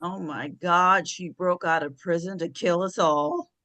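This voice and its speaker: A woman's voice: fairly low pitch, steady tone, unhurried, fairly bright tone. A woman acting an imperious, scornful female First Lady with a haughty, biting manner in a script table read. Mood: alarmed.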